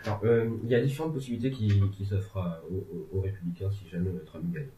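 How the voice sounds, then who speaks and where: A man's voice: sits low, steady tone, fast, dark tone, slight background noise. A man discussing politics in a casual, rambling way, with many hesitations and joking asides. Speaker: legal and political commentator. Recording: tinny phone-like sound in an echoey room.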